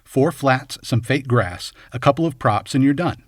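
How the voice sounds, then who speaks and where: A man's voice: mid-range voice, animated, moderate pace, no room sound, clean studio sound. A man reading scripted film commentary as host, in a wry, tongue-in-cheek way. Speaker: video essay host.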